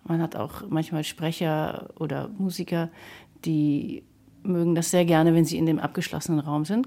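Female interviewee, somewhat low and very mellow voice, explaining her studio working methods. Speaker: composer and radio drama maker, interviewee.

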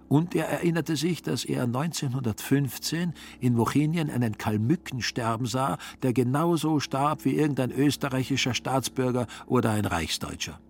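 A man reading literary radio narration in a measured storytelling manner. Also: medium pitch, slight background noise. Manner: measured storytelling in reported speech.

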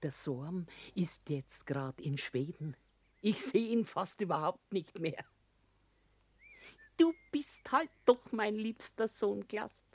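Slow, lilting widowed mother. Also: mid-range voice, lively intonation, no echo. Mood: fond, plaintive. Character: doting, plaintive widowed small-town mother. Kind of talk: naturalistic radio drama dialogue.

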